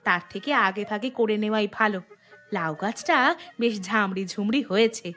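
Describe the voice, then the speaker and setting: A woman playing a practical, chatty village housewife in a Bengali cartoon, speaking in an expressive, homely way. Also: medium pitch, moderate pace, soft background music, lightly acted. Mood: busy, content.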